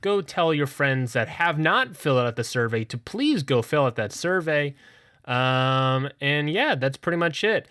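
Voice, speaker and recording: A man's voice: on the high side, animated, unhurried, a bit bright, clear, resonant tone, no room sound, studio quality. A man making a casual, rambling podcast announcement, jokingly correcting and repeating himself as he goes.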